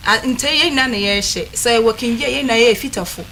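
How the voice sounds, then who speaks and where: A woman with a Ghanaian accent talks casually on a panel, pausing to find her words as she switches languages. Her voice is a bit high, with faint noise in the recording. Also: pushed and bright, low-quality recording. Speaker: talk show panelist. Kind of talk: casual discussion, mixing English with a local language. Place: studio.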